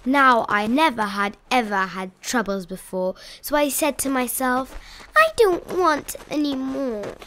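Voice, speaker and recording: A young woman reading rhyming children's picture books aloud in an expressive, playful storytelling style. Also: high-pitched, unhurried, slight background noise, low-quality recording. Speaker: children's picture book reader.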